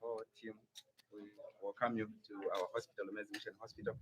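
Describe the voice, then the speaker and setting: A man with a Zambian accent giving an impromptu, halting speech as a health official, recorded on location. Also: on the low side, flat delivery, fairly bright tone, breathy edge. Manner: hesitant, halting delivery with many pauses. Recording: noisy on-location field recording.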